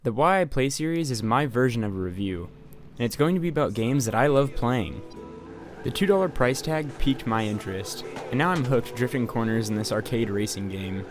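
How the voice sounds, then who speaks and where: Young man for voice-over game review: sarcastic, profane, mid-pitched, soft music bed. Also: expressive, fairly quick. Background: faint music.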